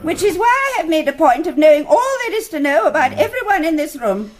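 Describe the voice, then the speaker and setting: A woman's voice: high voice, very animated, brisk. An actress playing an elderly, genteel English lady who leads a gang, prim, precise and commanding in a radio drama. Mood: icily polite. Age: older. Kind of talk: British radio drama acting.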